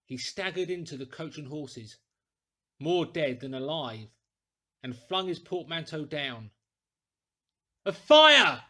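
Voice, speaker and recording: A man reading a classic story aloud in a deliberate, theatrical, clearly articulated way. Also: on the high side, a bit bright. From England. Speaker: audiobook reader, actor. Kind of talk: dramatic scripted storytelling.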